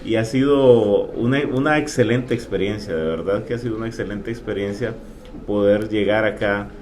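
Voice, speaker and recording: Male guest in his 40s, lowish voice, earnest and halting, faint room noise. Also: a little mellow. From Honduras. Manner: earnest and hesitant, with many pauses. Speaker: insurance agent guest. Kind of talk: casual interview.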